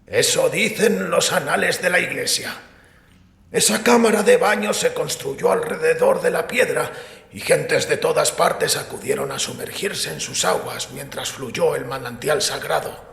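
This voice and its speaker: Guy playing a super gravelly devout priest, kinda high for a man, solemn n stubborn, audio drama, echoey hall. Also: a little slow, fairly bright tone, exaggerated voice. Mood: solemn storytelling. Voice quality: creaky, gravelly. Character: devout, defensive male priest, stubborn believer. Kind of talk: Spanish audio drama acting. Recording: echoey hall with long reverb.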